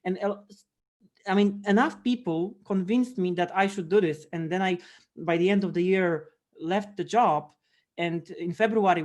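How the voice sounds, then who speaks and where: A course instructor and host talking casually and conversationally. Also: mid-range voice.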